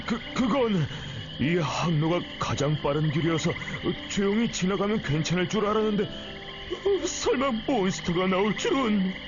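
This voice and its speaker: A man voicing an anxious, flustered ship captain with halting, old-fashioned formal speech in an anime-style game. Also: sits high, lively intonation, noisy background. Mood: flustered, defensive. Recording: slightly dull audio with music. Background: background music.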